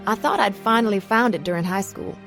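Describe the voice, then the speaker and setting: A woman's voice: mid-range voice, fairly quick, creaky, a bit bright, faint music behind. An actress playing a reflective young woman who narrates her troubled youth in a confessional radio drama. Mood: wistful. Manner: earnest, confessional. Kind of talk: scripted first-person radio drama narration.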